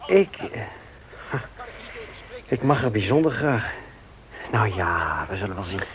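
A man's voice: on the low side, very animated, measured pace, rough recording. A man playing a hesitant, earnest family friend in a radio play.

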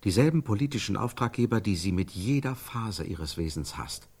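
A man's voice: fairly low pitch, expressive, brisk, a little mellow, husky. An actor playing an intense scriptwriter who improvises a melodramatic scene in a stylised radio play, searching for the words as he narrates. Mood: intent. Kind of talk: stylised radio drama acting.

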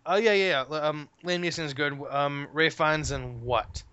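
A man's voice: a little high, normal speed, sharp tone, clear, resonant tone. A man whose first language is German, chatting about films with emphatic, opinionated remarks. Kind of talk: casual film review chat. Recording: dull lo-fi podcast audio.

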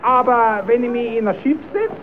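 An actor playing a naive, cheeky, quarrelsome puppet-show rascal with a southern German accent in an old radio play. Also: male voice, extremely high pitch, moderate pace. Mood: naive curious. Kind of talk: old radio play, comic dialect acting. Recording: tinny, narrow-band old radio recording.

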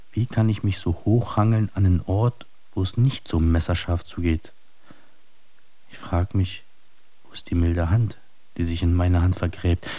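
Deep, mellow, troubled man. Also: no echo. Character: weary, lonely man searching for redemption. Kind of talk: literary radio drama monologue.

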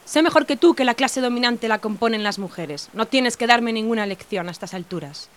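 A woman's voice: a little high, natural voice. An actress playing a patronising, self-assured middle-aged mother of the ruling class in an audio drama. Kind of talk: scripted audio drama acting.

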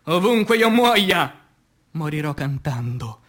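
An anguished revolutionary poet, acted by a man in radio theatre, declaiming verse in a high-pitched male voice. The delivery is slow and theatrical, with weighty pauses and passionate swings from hushed to loud. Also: very animated, heavily acted. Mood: solemn, brooding. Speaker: stage actor.

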